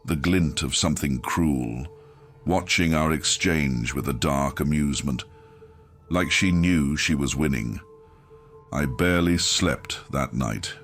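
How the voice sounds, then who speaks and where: A man reading a scripted first-person horror story in a grim, measured, dramatic manner, as an audiobook narrator. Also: rumbling low, fairly bright tone, soft background music.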